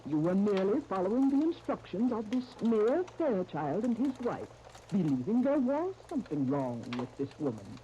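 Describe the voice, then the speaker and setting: An actress playing a vicious, scheming woman who gives commanding, deliberate orders in a 1930s radio soap opera. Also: mid-range voice, big pitch swings, mellow, close to an everyday voice. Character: vicious scheming woman plotting to frame a family. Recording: muffled, noisy 1930s radio recording.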